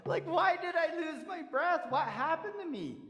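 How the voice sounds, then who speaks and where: A man's voice: animated, moderate pace, fairly bright tone, lo-fi sound, full character voice. A man putting on a vain, boastful coyote trickster. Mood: puzzled. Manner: exclaiming, high and strained. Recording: long hall reverb.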